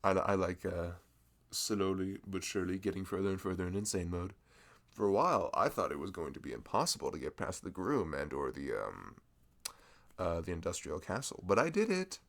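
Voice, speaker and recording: A man acts out a bashful young male florist in a casual audio roleplay. His voice is low and gravelly, yet it moves up and down with lively swings. He rambles sweetly and apologetically, flustered and putting himself down as he talks to the listener. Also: a little fast, full character voice. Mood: chatty, geeky.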